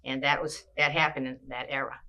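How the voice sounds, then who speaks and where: An older woman from Massachusetts, recalling her childhood in an oral history interview, with a measured pace and frequent pauses. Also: very deep voice, little pitch movement, pushed and bright, dull audio.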